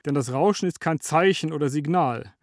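A man narrating a scripted radio essay in a measured, lecturing way. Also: on the high side.

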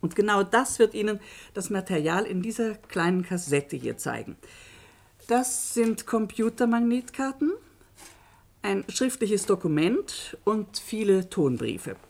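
A woman's voice: medium pitch, lively intonation, measured pace, creaky, darkish tone. An actress playing an earnest middle-aged woman academic in a German radio drama, lecturing in an articulate, formal, cautionary way. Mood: grave, lecturing. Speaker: actor in a radio play.

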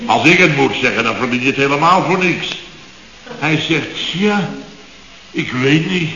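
An actor playing a grumbling, exasperated middle-aged contractor who rants sarcastically in clipped half-sentences in a radio comedy. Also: male voice, a little slow, pushed and bright, reverberant, big-room sound. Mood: indignant. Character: grumbling, exasperated male building contractor, long-winded storyteller. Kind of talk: 1970s radio comedy acting. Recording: boomy, muffled old radio recording.

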